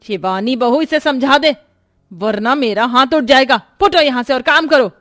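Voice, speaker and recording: A woman's voice: high voice, moderate pace, fairly bright tone, dry recording, studio quality, pitched above the performer's own voice. A woman voicing a strict, middle-aged mother-in-law in a moral-story cartoon, indignant and domineering as she lectures. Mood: stern, threatening.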